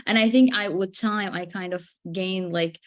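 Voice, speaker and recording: A woman's voice: mid-range voice, steady tone, normal speed. A woman from Syria asks a question during a medical seminar. She picks her words carefully, stopping and restarting as she tries to frame her point. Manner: searching for words, hesitant, restarts. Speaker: resident asking a question.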